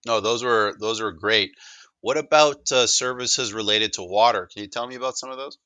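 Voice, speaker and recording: A man with a Canadian accent and a low, forceful voice gives an informal demo pitch. He talks discursively, stumbling and doubling back on words. The sound is dry and close. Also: normal speed, dry recording. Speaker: presenter of a technology demo. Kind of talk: casual sales presentation, conversational.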